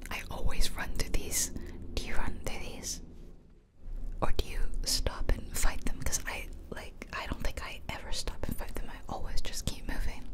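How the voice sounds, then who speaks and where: A woman whispering gently and chattily in ASMR style close to the microphone as she hosts a game playthrough. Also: normal speed. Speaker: gaming video host. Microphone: close microphone, ear to ear.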